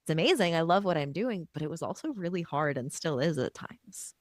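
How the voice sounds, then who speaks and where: A woman hosting a podcast, chatting in a reflective, relaxed way while she is losing her voice. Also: sits low, a little fast, no room sound, clean studio sound. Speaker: podcast host. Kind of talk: casual podcast conversation.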